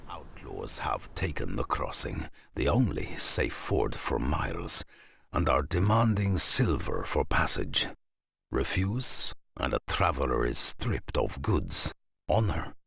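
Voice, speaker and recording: Grim, extremely deep, gravelly Norseman. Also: big pitch swings, a bit bright, dry recording, studio quality, heavily acted. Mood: grim, stern. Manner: grave, dramatic, measured. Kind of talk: dramatic video game narration.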